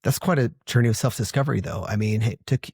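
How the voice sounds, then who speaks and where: A man's voice: medium pitch, quick pace, darkish tone, breathy edge, dry recording, clean studio sound. A man hosting a podcast, encouraging and enthusiastic, often stumbling and repeating words. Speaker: podcast host. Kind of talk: casual interview.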